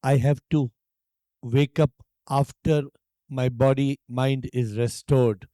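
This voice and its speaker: An Indian-accented man leading a guided meditation as a teacher, speaking calmly and deliberately with long pauses. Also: mid-range voice, no room sound. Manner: calm, hushed, deliberate phrasing with long pauses.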